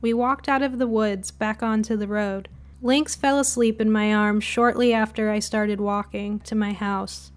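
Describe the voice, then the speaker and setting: A woman's voice: medium pitch, fairly even intonation, unhurried. A woman reading a scripted first-person spooky short story as its narrator.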